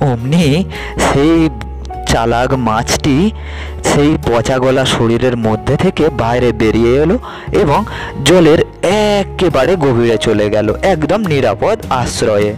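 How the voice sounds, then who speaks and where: A man's voice: medium pitch, lively intonation, unhurried, soft background music. A man narrating a scripted children's fairy tale as a storyteller.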